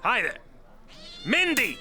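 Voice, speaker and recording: A man plays a fussy male cat show judge in an audio comedy. The voice is high and forceful, with very lively swings. He is formal, precious and easily wounded. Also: takes it slowly, a little hiss or hum, exaggerated voice. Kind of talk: comedic audio drama acting.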